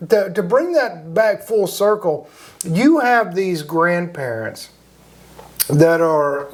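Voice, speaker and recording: A man's voice: high-pitched, expressive, slow. A man hosting a casual conversational interview, talking in a rambling, reflective way. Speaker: interview host.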